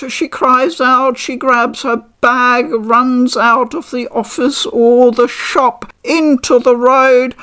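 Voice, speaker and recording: Older lady talking games at home, slow, kinda highish voice, dry humor, desk mic. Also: creaky, fairly bright tone. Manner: measured, articulate, dryly wry. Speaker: game reviewer. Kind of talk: unboxing and review talk. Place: living room, indoors. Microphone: desk microphone.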